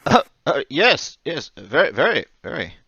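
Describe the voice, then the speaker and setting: A man's voice: on the high side, sing-song, normal speed, creaky, pushed and bright, slightly rough, no echo, highs cut off, exaggerated voice. A man playing a nervous, befuddled older war veteran in a radio play, stammering and hesitant. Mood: uneasy. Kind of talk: old-time radio play acting.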